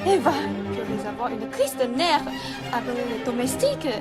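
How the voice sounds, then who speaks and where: A woman's voice: fairly high pitch, very animated, moderate pace, a loud crowd. Young woman as an imperious, swooping-voiced rich lady. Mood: indignant. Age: young adult. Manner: haughty, exclamatory. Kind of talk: French radio play acting. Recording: music behind the voice.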